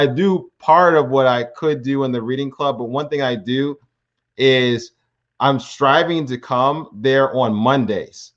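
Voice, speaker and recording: A man's voice: medium pitch, dull audio. An American man chatting casually on a live stream, earnest and rambling, with frequent restarts. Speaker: language learner vlogger. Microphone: computer mic on live stream.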